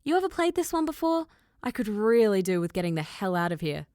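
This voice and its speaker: A woman's voice: mid-range voice, very animated, a little fast, darkish tone, dry recording, lightly acted. A woman voicing a confident, sarcastic stranded girl pilot in an audiobook, with a breezy, slangy, assertive manner. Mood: wry, sarcastic. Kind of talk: audiobook character dialogue.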